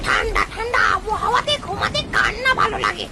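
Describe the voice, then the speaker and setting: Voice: extremely high pitch, huge pitch swings, a little fast, crowd noise, heavily acted. A performer voicing a nervous, fawning crow scout in a cartoon, stammering, pleading and eager to please. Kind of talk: cartoon story dialogue. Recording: loud background noise.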